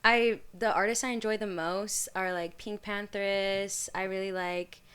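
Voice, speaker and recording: Smooth-voiced young woman, easygoing guest. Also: mid-range voice, steady tone, unhurried, a bit bright. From Utah. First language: Spanish. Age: young adult. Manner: easygoing, thoughtful, frequent "like" and "yeah". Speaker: guest musician. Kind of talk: casual interview.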